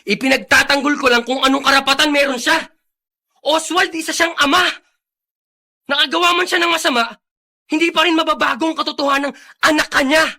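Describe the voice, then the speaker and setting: A man's voice: very high voice, steady tone, a little fast, gravelly, sharp tone, exaggerated voice. An actor playing an indignant, principled young man in a Filipino radio drama, reproaching and moralizing with emphasis. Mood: reproachful. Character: indignant, principled young man defending his friend's father.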